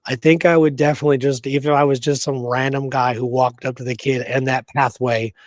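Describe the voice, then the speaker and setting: A man's voice: mid-range voice, level tone, a little fast, no room sound. American man chatting casually, dry close sound. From Pacific Northwest, United States. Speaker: show co-host and history researcher. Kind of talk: casual history discussion.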